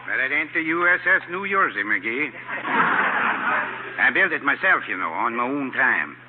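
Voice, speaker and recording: Folksy janitor, old noisy radio. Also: male voice, medium pitch, sing-song, measured pace, phone-quality sound. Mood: grumbling, chuckling. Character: grumbling good-natured immigrant lodge janitor, simple and plodding. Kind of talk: 1940s radio comedy acting.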